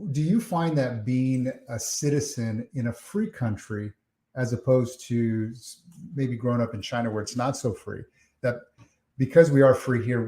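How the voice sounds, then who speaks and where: A man hosting a podcast interview, friendly and halting with many fillers. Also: mid-range voice, dark tone. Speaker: podcast host. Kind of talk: casual interview. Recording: echoey room.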